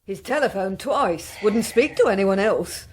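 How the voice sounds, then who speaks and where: A woman's voice: medium pitch, animated, measured pace, a little mellow, slightly rough. Matter-of-fact woman secretary. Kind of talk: naturalistic radio drama acting.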